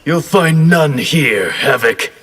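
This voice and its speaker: A man's voice: a little high, animated, takes it slowly, heavy background noise. An actor playing a stern, proud male warrior with a dismissive manner. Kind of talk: fighting game intro dialogue.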